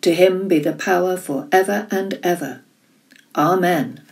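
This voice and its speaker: A woman reading scripture aloud slowly and deliberately. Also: on the low side, gravelly, darkish tone, distant mic. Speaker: scripture reader.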